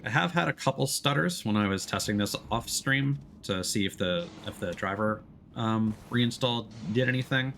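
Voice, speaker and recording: A man's voice: on the low side, normal speed, gravelly, fairly bright tone, slight background noise. A man giving casual live gameplay commentary as a streamer, explaining things and thinking aloud. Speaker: game streamer.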